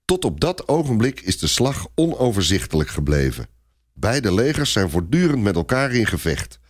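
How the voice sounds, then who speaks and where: Very animated male storyteller, lowish voice. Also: normal speed. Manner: theatrical, suspenseful. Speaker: narrator. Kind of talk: dramatic scripted storytelling.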